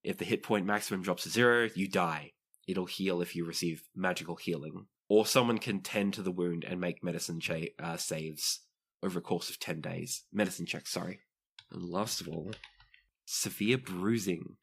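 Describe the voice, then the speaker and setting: Deep-voiced man running a tabletop game. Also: moderate pace, creaky, a bit bright, breathy edge. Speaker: game master. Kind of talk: narrating a tabletop roleplaying game.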